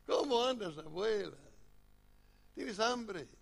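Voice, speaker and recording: A gravelly-voiced man doing a kindly young man fussing over elderly widows at a meal, his pitch jumping high with affection. He speaks Spanish with an American accent, gentle and doting. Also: expressive, slow, exaggerated voice.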